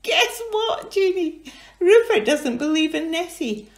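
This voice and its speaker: Woman putting on a high, flustered little haggis creature for a storybook, very lively. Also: unhurried, echoey room, full character voice. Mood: eager. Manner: squeaky, spluttering, flustered. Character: excitable small haggis creature, fussy host.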